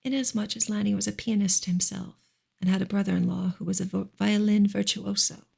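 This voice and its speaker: A woman reads literary prose in a soft ASMR style, her voice heavy with vocal fry and breathy around the edges. The intonation stays fairly even and gentle, slipping at times toward a whisper as she narrates in measured phrases. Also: medium pitch. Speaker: ASMR reader and channel host. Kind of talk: soft ASMR reading of a novel.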